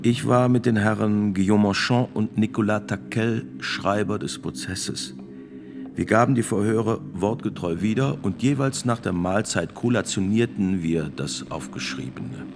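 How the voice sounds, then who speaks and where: An actor playing a grave priest and notary of sixty-six, testifying in a radio drama with gravelly, deliberate, formal speech. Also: male voice, on the low side, over music. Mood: formal, measured. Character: sixty-six-year-old male priest and court notary, grave witness.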